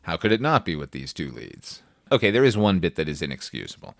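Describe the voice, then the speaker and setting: A man with a very low-pitched voice presents a film review in a conversational but prepared style. His delivery is wry and opinionated, with emphatic little asides. The sound is dry and close, free of room reflections. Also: very animated, quick pace, creaky, no echo. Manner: casual swearing. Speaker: film review podcast host.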